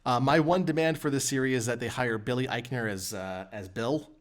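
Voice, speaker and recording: A man's voice: mid-range voice, a little fast. Male podcast host, mid-range voice, casual news-reading and chat.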